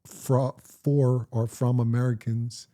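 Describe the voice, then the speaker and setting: Dark-voiced Jamaican man, reflective. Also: mid-range voice, level tone. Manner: reflective, measured storytelling. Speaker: interviewee, parent. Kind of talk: casual conversation.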